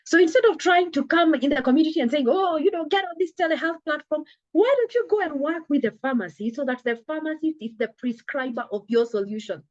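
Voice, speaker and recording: A woman's voice: high-pitched, quick pace, few pauses, no room sound, muffled recording. A woman, a telehealth company founder, talking earnestly in an interview, her voice a little scratchy from a coming cold. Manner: earnest, emphatic, acts out little dialogues. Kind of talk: conversational interview.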